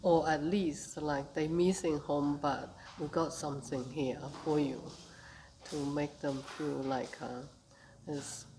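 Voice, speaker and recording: Middle-aged woman from Beijing, English, low-pitched, slow and halting, recorded with noticeable background noise. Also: steady tone, warm tone, rough recording. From Beijing, China. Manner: slow, hesitant, many fillers. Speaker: interviewee, tai chi group leader. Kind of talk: casual interview answers.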